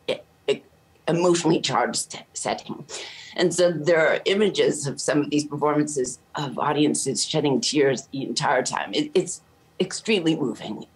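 A woman's voice: low-pitched, low-quality recording. A middle-aged Canadian woman, an orchestra conductor, speaking earnestly and with passion in a remote news interview over a video call from a room at home. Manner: earnest and impassioned.

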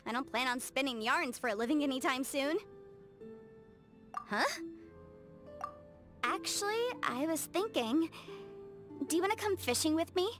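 A woman voicing a bubbly, talkative, proud young female legal adviser in an English video game, with an expressive, eager delivery. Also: high-pitched, a little slow, pauses often. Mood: chatty. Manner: animated, chatty, expressive. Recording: game music under the voice.

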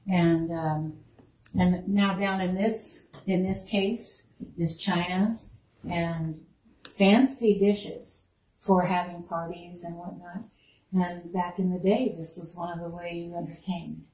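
A woman's voice: on the low side, steady tone, slow pace, a little mellow. Chatty woman curator in an echoey room. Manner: chatty, lingers on exhibits, laughs easily. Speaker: museum curator giving a tour. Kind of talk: casual guided tour talk. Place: indoor museum room. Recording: roomy, distant mic, faint noise.

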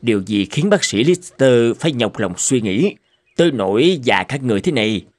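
A man voicing troubled, thoughtful doctors and professors in a cartoon drama, formal and measured. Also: mid-range voice, lively intonation, darkish tone, lightly acted. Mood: earnest, concerned. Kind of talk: animated cartoon drama dubbing.

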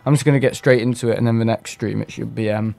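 A hoarse man with a cough, rambling and clearing his throat as he gives casual commentary on a live gameplay stream. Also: medium pitch, fairly even intonation, fast talker, dark tone. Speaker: gaming streamer.

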